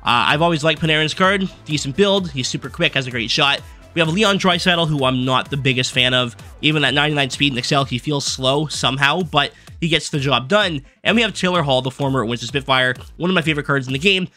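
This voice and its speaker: A young man giving a casual, rambling rundown of his game team from his desk, his voice going hoarse as he is losing it. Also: on the high side, bright, forceful tone, soft background music. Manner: fast, rambling card-by-card rundown with fillers. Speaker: gaming YouTuber. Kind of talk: casual gameplay commentary. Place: small room at a desk.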